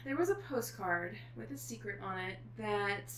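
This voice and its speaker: A middle-aged woman guest author chatting casually, telling stories in an animated way with thoughtful pauses. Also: mid-range voice. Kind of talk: casual chat. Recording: echoey room with faint noise.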